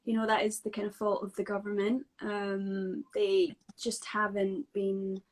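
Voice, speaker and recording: A woman with a Scottish accent talks casually as a guest from home. She speaks at an ordinary middle pitch, pausing often with fillers and restarting her sentences. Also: completely flat delivery, rough recording. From Scotland. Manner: chatty and hesitant, many fillers and restarts. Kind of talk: casual video-call interview.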